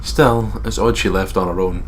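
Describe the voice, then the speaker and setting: A man with a fairly low voice is co-hosting a let's play. He reads story text aloud and chats casually between passages, joking and swearing, over faint background noise. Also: fairly even intonation, moderate pace. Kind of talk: casual gaming commentary mixed with reading story text aloud.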